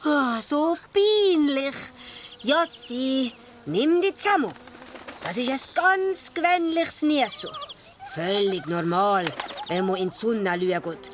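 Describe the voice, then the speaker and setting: Boastful little dwarf guy, super high and unhurried, kids radio play, bit of background noise. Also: animated. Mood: embarrassed. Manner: exuberant, theatrical. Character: excitable, boastful young male dwarf adventurer. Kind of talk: children's radio play acting.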